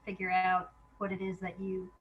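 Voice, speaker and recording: A woman's voice: on the low side, monotone, normal speed, phone-quality sound, a little hiss or hum. A woman guest chatting casually in an interview, thinking aloud in an informal, hesitant way. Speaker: guest playwright.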